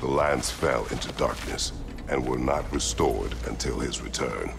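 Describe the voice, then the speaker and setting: An actor playing a stern, brooding older warrior god who speaks in terse, formal, grave phrases with a gravelly voice. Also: male voice, a bass voice, a little slow, noisy background, full character voice. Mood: stern, cold. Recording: game music behind the dialogue.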